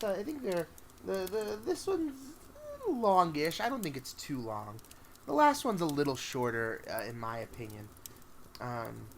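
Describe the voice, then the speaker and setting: A man's voice: mid-range voice, gravelly, noisy background. A man hosting a storytelling show, talking in a casual, rambling and informal way. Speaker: podcast host and storyteller. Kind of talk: casual live stream chat between stories.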